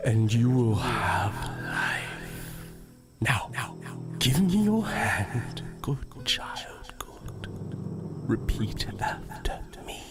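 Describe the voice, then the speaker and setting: A sinister dark spirit offers wishes in a breathy whisper. Each phrase is drawn out extremely slowly, seductive and menacing, over clear background music in an audio drama. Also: male voice, medium pitch, big pitch swings. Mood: coaxing, seductive.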